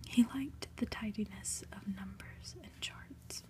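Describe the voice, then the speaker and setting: A woman reading a story aloud for ASMR in a soft, breathy whisper, hushed and deliberate, with pauses. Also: medium pitch. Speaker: host reading a book aloud.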